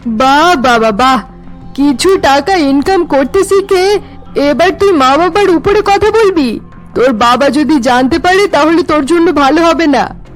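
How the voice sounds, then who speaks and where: Woman playing a high-voiced, bossy scolding mother. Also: animated, measured pace, soft background music, low-quality recording. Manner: sarcastic, bossy, exasperated. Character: scolding middle-aged mother of a grown son.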